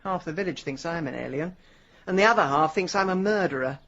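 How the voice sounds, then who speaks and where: An actress playing a sharp-tongued, determined middle-aged London woman in a radio drama, brisk and sardonic. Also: lowish voice, muffled recording. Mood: indignant, sardonic. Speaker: actor in lead role.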